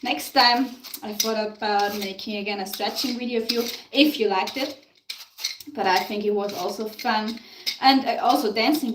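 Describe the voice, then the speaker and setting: A woman's voice: fairly high pitch, noisy background. A woman from Tyrol with an Austrian German accent, chatting casually to live-stream viewers while she bakes in her home kitchen. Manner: chatty, answers viewer comments, laughs often. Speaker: live-stream baking host. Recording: echoey kitchen, mic far off. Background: clinking dishes and cutlery.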